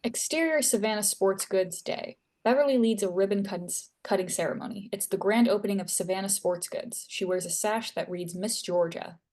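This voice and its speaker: A woman's voice: mid-range voice, moderate pace, hardly any pauses, a little mellow. A woman reading stage directions and scene headings as narrator at a screenplay table read.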